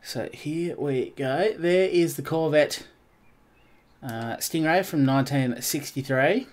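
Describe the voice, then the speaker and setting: A man's voice: on the high side, takes it slowly. A man commentating casually while he streams a racing game, stuffed up and nasal, rambling and greeting his viewers as he goes. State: congested, nasal.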